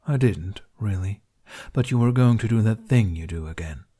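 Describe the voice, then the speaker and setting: Audiobook dragon companion, performed by a man: male, lowish voice, wry, forbearing, dryly emphatic. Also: moderate pace, warm tone, husky. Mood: reasoning.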